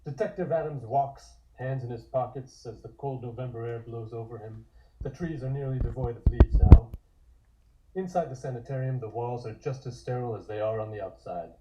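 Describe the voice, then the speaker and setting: A man narrates script directions in a measured, descriptive way. His voice is of medium pitch and very dark and mellow, with no edge at all. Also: dull audio, slight background noise. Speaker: narrator reading stage directions.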